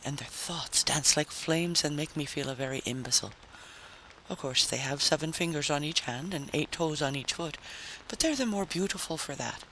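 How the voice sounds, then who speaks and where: Guy as fanciful drifter in 50s radio story, earnest sweet talker, really bright forceful voice, faint hiss. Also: fairly high pitch, normal speed. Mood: dreamy, earnest. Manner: earnest, lyrical, coaxing. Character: sprightly, fanciful male tramp, silver-tongued and shifty. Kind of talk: 1950s science fiction radio drama. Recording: noisy vintage radio recording.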